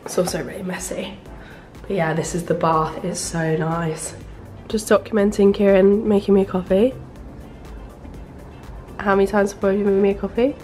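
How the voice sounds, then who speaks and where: Croaky young woman vlogging. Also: mid-range voice, fairly even intonation, normal speed, long pauses, darkish tone, soft background music. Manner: chatty, teasing, laughs often. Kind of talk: casual vlog chat.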